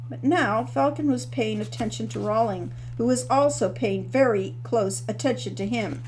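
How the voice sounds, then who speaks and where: A woman author reading her novel aloud as the narrator, in a steady storytelling manner. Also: a little high, moderate pace, a little hiss or hum, poor audio. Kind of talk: scripted audiobook narration.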